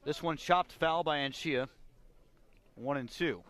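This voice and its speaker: A man's voice: fairly high pitch, slow pace, fairly bright tone. A man giving live radio play-by-play commentary as a baseball announcer.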